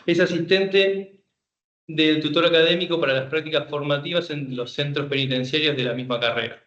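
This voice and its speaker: A man's voice: a little high, fairly even intonation, a little fast, a bit bright. A man moderating a seminar, reading out a guest speaker's biography and then welcoming her informally. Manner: reads a bio, then loose and informal. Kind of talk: formal speaker introduction. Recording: echoey room, muffled lo-fi sound.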